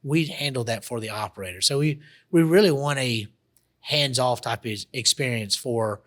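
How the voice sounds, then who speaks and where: A man's voice: mid-range voice, moderate pace, fairly bright tone. A man, a guest on a radio talk show, describing his business plans in a loose, rambling way with many restarts. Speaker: guest, automation entrepreneur. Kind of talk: casual radio interview.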